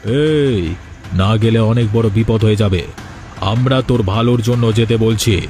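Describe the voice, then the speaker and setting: A man's voice: on the low side, measured pace, darkish tone, faint music behind, close to an everyday voice. A man voicing an angry, ruthless village father in a cartoon, speaking in a menacing, insistent manner. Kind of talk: cartoon voice acting.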